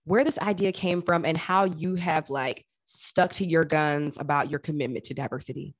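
A woman's voice: sits low, steady tone, normal speed, no echo, clean studio sound. A woman hosting a podcast, chatting and reading aloud in an effusive, emphatic manner. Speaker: podcast host. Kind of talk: podcast chat, reading out listener reviews and interviewing.

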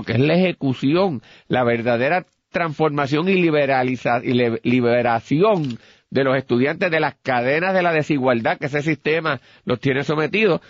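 A man with a Puerto Rican accent arguing a point deliberately in repeated phrases as a political commentator, recorded with dull radio sound. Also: on the high side, no echo.

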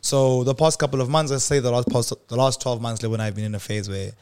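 Young male podcast host, quick, jokey delivery. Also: mid-range voice, fairly even intonation, darkish tone, clean tone. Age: 20s. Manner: loose, joking, laughs often. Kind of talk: casual podcast chat.

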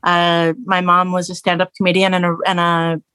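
A woman's voice: fairly low pitch, normal speed, smooth, no echo. A middle-aged woman from Canada who grew up in Los Angeles, a musician talking casually in an interview, full of restarts and fillers. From Canada, raised in Los Angeles. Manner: animated, many restarts and fillers, quotes others in raised voice. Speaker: guest musician. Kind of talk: conversational interview.

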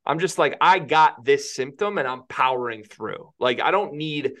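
A man's voice: medium pitch, lively intonation, moderate pace, a bit bright. A man co-hosting a casual sports podcast, teasing his partner and laughing often. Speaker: podcast co-host.

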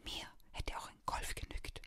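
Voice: unhurried, natural voice. A narrator voicing a middle-aged mother in an audiobook, whispering in a pointed, dryly sarcastic way.

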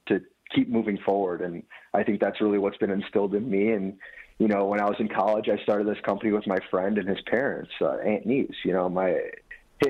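Male guest, 30s, from Michigan, earnest and rambling, low voice, phone-line sound. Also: slightly rough. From Dearborn, Michigan. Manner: earnest, rambling with many restarts. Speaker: guest, food business owner. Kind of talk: casual radio interview. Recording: tinny phone-line audio.

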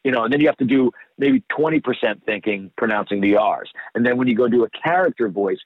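A man with a Boston accent, a voice actor chatting casually in an interview, rambling with quick restarts. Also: mid-range voice, lively intonation, dry recording. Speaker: voice actor guest. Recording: tinny phone line.

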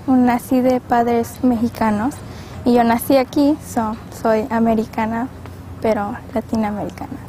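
A woman's voice: on the high side, steady tone, measured pace, mellow, slight background noise. A woman answering a street interview in a casual, plain-spoken way.

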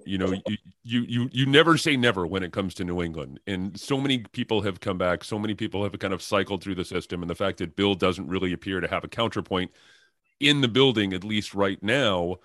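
Male podcast host, lowish pitch, dry studio-tight sound, chatting through sports talk with repeated words. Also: fairly quick, a bit bright, no room sound. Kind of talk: conversational sports interview.

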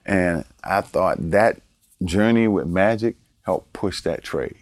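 Older guest from Baltimore, deep voice, easygoing anecdotes, dry recording. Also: male voice, takes it slowly, dry recording. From Baltimore, Maryland. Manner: relaxed storyteller, chuckles, repeats words for emphasis. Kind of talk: casual storytelling interview.

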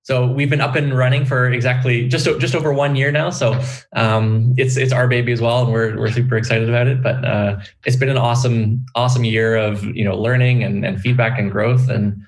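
Man, podcast guest, friendly, rambling, mid-pitched voice, lots of fillers. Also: fairly even intonation, brisk, rough recording. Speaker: vitamin company founder, guest. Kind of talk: casual podcast interview.

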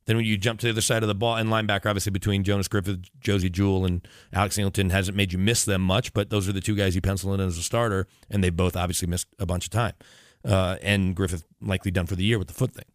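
Male sports radio guest. Lowish voice, dry sound, emphatic conversational analysis with plenty of digressions. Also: flat delivery, quick pace, no echo.

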